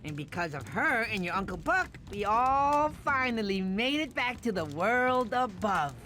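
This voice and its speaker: An actor voicing a goofy, sentimental sloth who tells stories in a fussy, dramatic way for an animated game. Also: mid-range voice, measured pace, soft background music. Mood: eager storytelling. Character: goofy sentimental sloth storyteller, doting self-styled mama. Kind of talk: animated game voice acting, bedtime storytelling.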